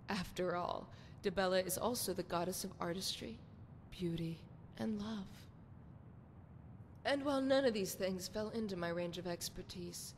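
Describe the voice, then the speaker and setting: A woman playing a seductive, flirtatious priestess of a love goddess in a video game, with sultry, poetic, theatrical phrasing. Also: natural voice. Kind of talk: scripted video game character dialogue. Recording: long hall reverb, noisy rumbling background.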